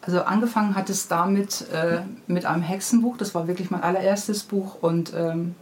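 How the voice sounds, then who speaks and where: A woman's voice: lowish voice, steady tone, normal speed, darkish tone. A middle-aged woman, telling anecdotes reflectively as an author being interviewed. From Hamburg, Germany. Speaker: guest, children's book author. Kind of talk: conversational interview storytelling.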